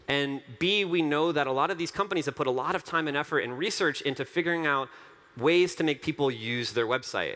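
Guy on a big dark stage doing a keynote, headset mic, eager, keeps repeating stuff for emphasis. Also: mid-range voice. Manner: earnest, enthusiastic, repeats phrases for emphasis. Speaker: company co-founder giving a keynote. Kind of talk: conference keynote speech. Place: large dark conference stage.

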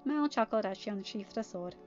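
A woman's voice: mid-range voice, lively intonation, brisk, a little mellow, dull audio, soft background music. A woman with an English accent reading fan fiction and commenting on it casually. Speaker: amateur fan fiction reader. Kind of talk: storybook narration and casual commentary.

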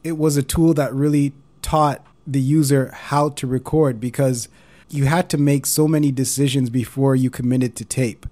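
A man's voice: mid-range voice, fairly even intonation, normal speed, warm tone. A man narrating a casual explainer as host, in an informal, conversational way. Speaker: host of a video series on analog recorders.

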